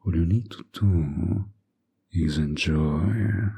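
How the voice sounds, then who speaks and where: A man playing a smug, manipulative, flirtatious villain in an intimate audio drama, coaxing with deliberate pauses in a breathy, husky voice. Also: low-pitched, dark tone, exaggerated voice. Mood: seductive, coaxing.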